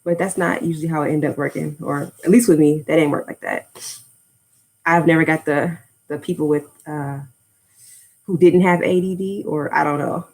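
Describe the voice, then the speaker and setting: Low-voiced rambling American woman. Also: fairly even intonation, moderate pace, a little mellow, poor audio. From United States. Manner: rambling, drifts off topic, frequent fillers. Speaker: language-learning YouTuber. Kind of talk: casual livestream chat.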